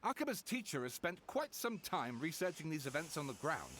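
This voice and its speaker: A man's voice: a little high, big pitch swings, fairly quick, fairly bright tone, slight background noise. An actor playing an earnest, polite young male scholar in a video game. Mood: earnest, explaining. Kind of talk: video game dialogue acting.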